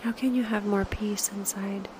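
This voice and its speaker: A woman leading a slow guided meditation, speaking softly and unhurriedly in short phrases with pauses, often posing reflective questions. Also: medium pitch, steady tone, background noise.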